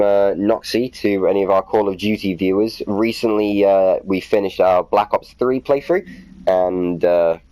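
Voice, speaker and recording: A man's voice: low-pitched, a little mellow, poor audio. A man hosting a gaming video, chatting casually and reading documents aloud in a playfully self-mocking way. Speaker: gaming video host and reader.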